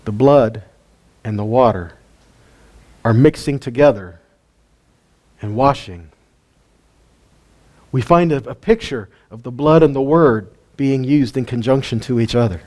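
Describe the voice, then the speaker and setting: A man giving an informal Bible teaching, animated and full of rhetorical questions and light jokes. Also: medium pitch, a little slow, long pauses. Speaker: teacher of a weekly Torah portion.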